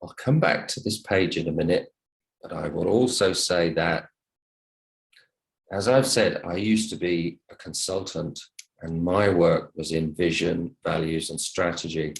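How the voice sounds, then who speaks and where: A low-voiced man speaks as an English teacher running an online class. He is polite and encouraging as he addresses his students. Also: steady tone, a little slow, gravelly, poor audio. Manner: polite, encouraging, thanks listeners often. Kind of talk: online lesson talk to students. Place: online video call session.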